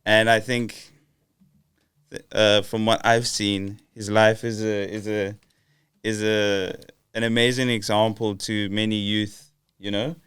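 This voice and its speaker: A man's voice: on the low side, level tone, slow, fairly bright tone, clear, resonant tone, no room sound, studio quality. A young man hosting a casual podcast interview, hesitant with many fillers and restarts. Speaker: podcast host.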